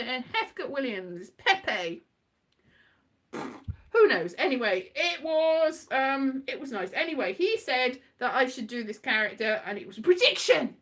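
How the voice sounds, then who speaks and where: A middle-aged woman from Wales with a bright, fairly high voice talks off the cuff. Her pitch swings about freely as she drifts from one thought to the next, muttering little asides to herself in a scatty, casual monologue. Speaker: writer and performer, host.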